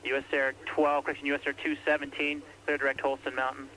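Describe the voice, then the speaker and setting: A man's voice: medium pitch, monotone, quick pace, sharp tone, low-quality recording. A man working as an air traffic controller, calling aircraft in terse, clipped radio phrasing. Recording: archival radio recording with faint hiss.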